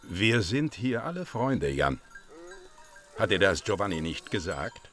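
A man's voice: sits low, sing-song, unhurried, fairly bright tone, heavily acted. An actor playing an inquisitive, brusque Sicilian man questioning a foreign shepherd in a radio crime drama. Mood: brusque, insistent.

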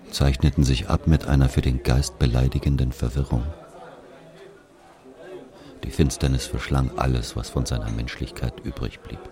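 A man playing an aging Algerian who confesses an old killing in a brooding, deliberate literary monologue. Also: a bass voice. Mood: somber. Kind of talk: literary monologue, dramatic audio narration.

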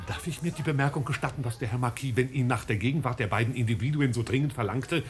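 An actor playing an unflappable, long-winded manservant in a 1950s radio play, with formal, deferential, deadpan diction. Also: male voice, medium pitch, fast talker, natural voice.

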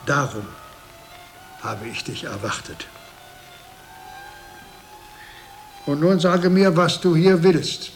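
An aged monk, stern and cunning, in a radio drama. He speaks slowly in a rather high male voice, solemn and commanding, with faint music behind him. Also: expressive. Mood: grave, calculating. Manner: measured, solemn, imperious. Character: old blind monk librarian, stern, cunning, commanding villain. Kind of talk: German radio drama acting.

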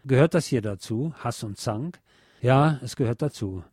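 A man's voice: mid-range voice, animated, moderate pace, darkish tone, breathy edge, no room sound. Casting: male narrator for a literary audiobook, mid-range voice, close dry sound.

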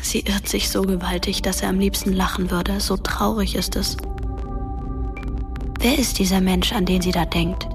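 A woman as a ghostly teenage girl narrating a radio drama. She speaks softly and deliberately, with breathy intimacy. Music runs underneath her voice. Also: medium pitch, close to an everyday voice.

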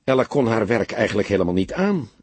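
A man's voice: fairly low pitch, sing-song, fast, darkish tone, slightly rough, dry recording. Een man met een Nederlands en hese stem speelt in een luisterboek een jonge kantoormedewerkster die ontwijkend en stug antwoordt. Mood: matter-of-fact. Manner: evasive, hesitant, reluctant. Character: guarded, curt young female office worker under questioning.

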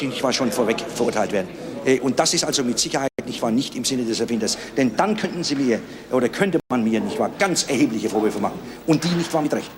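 A man delivering a formal official statement in a halting way, filling nearly every phrase with hesitations and tag questions. His voice sits at medium pitch. A murmuring crowd is clearly audible behind him. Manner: hesitant, full of "äh" and "nicht wahr".

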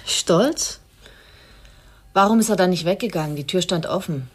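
An actress playing a cool, sceptical society woman in a German radio crime drama, speaking in a measured, pointed way. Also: on the low side, slightly rough.

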